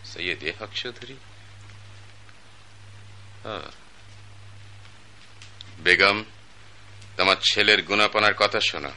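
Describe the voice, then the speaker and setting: A man's voice: fairly low pitch, slow pace, long pauses, bright, forceful tone, slight background noise, exaggerated voice, poor audio. An actor playing a stern, self-righteous wealthy father, older in age, in a radio drama. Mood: scolding. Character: wealthy stern patriarch, pompous older man.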